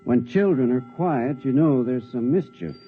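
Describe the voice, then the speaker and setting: An actor playing a bewildered, sensible suburban husband in a 1950s radio drama, on a tinny, noisy old recording. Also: male voice, mid-range voice, moderate pace, faint music behind, natural voice. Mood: easygoing, wry.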